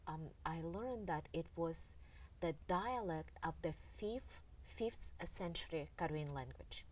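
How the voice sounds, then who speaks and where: Low-voiced woman, distant mic. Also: animated, darkish tone. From Russia. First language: Russian. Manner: earnest, halting, with many pauses and fillers. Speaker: author and interview guest. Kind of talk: reflective storytelling in an interview. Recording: distant mic with faint background noise.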